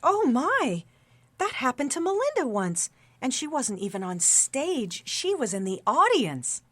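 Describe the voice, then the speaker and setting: An actress playing a young, excitable, chatty office worker in a radio drama, gushing and earnest. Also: female voice, sits high, unhurried, no echo, full character voice. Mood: excited, gushing. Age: young adult.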